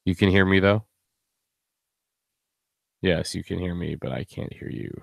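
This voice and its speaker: A man's voice: low voice, measured pace, vocal fry, no room sound, studio quality. A man hosting a live stream in a casual, rambling, joking way. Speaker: host.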